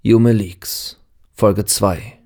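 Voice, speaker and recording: A man's voice: lowish voice, fairly even intonation, very slow, mellow. A man reading a scripted announcement of series credits as an announcer.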